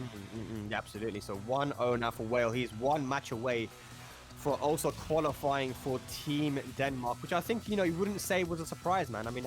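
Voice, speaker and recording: A man calls a fighting-game tournament live, talking quickly and easily. He sounds chatty and enthusiastic. Faint game music plays underneath. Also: medium pitch. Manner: chatty, enthusiastic, laughs often. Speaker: esports commentator.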